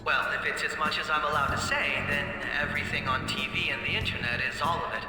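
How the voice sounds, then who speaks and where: An actor playing a young male detective, composed, polite and slyly confident, speaking in measured, smoothly evasive game dialogue. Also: a little high, steady tone, close to an everyday voice. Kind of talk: English game voice acting, public panel dialogue. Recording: echoey hall reverb with music behind.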